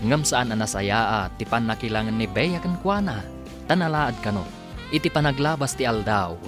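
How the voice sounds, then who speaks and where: A man's voice: medium pitch, expressive, normal speed. A man with an Ilocano accent delivering dramatic scripted narration as a radio drama announcer. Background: music.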